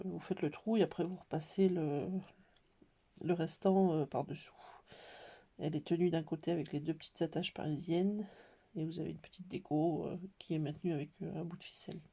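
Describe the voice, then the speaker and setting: A woman with a cold explaining a scrapbook album in a hesitant, casual way, with many pauses and fillers. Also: on the low side, mellow, husky. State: has a cold. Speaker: scrapbooking tutorial maker. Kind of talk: casual craft tutorial.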